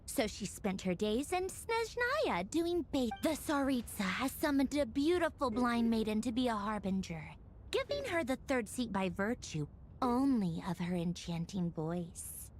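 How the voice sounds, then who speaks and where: A woman voicing a sharp-tongued, exasperated harbinger in an anime game, sardonic and dramatically emphatic. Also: fairly high pitch, very animated, a little slow, a little hiss or hum. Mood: matter-of-fact. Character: sharp-tongued, exasperated female harbinger with long-held grudges. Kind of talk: anime video game dialogue.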